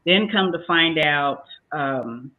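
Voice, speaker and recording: A woman's voice: low voice, lively intonation, slow pace. An older woman on a talk show panel giving casual advice and acting out both sides of a conversation as she tells a story. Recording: tinny phone-like sound.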